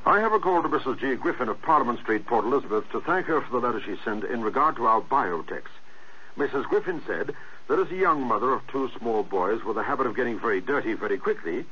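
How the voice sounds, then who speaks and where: Male ad announcer, animated and husky, 1960s radio commercial, muffled vintage sound. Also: mid-range voice. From South Africa. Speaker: advertising announcer. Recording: muffled old radio recording.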